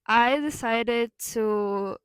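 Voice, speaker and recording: A woman's voice: a little high, drawn-out words, clear, resonant tone, no room sound. A young woman whose first language is Ukrainian, talking in a reflective, conversational way with fillers and self-corrections. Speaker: vlogger. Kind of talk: reflective personal monologue.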